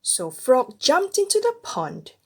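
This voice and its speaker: Female storybook reader, high-pitched and hugely lively, addressing small children with expressive narration. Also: slow, husky. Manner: animated, addressing young children and parents.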